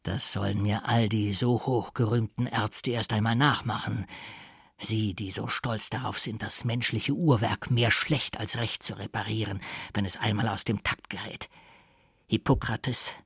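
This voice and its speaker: A man acts out a sly old doctor from a gothic storybook. The voice sits low and creaks with husky gravel, drawing out words with mocking, self-satisfied triumph. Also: fairly even intonation, unhurried, fairly bright tone, no room sound, studio quality, exaggerated voice. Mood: boastful, scornful. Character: old gloating doctor and mystic, slyly triumphant, male. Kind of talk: dramatised audiobook reading.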